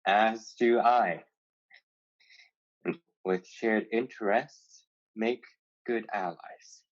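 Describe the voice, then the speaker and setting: An actor playing a wry, calculating pirate with a dry, halting delivery in a staged play reading. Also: medium pitch, fairly even intonation. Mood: measured, sincere. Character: wry male pirate, calculating yet honorable suitor. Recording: dull lo-fi sound.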